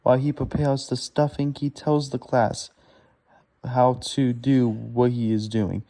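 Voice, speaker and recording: A man reads a children's storybook aloud haltingly, stumbling over words and correcting himself as he goes. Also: mid-range voice, little pitch movement, warm tone. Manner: stumbling, laboured reading with self-corrections.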